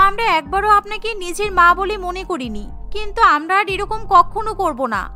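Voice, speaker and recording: A woman's voice: extremely high pitch, expressive, fairly quick, bright, forceful tone, faint music behind, heavily acted. A woman voicing a young daughter-in-law in a cartoon family story. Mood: remorseful, pleading. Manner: brusque scolding.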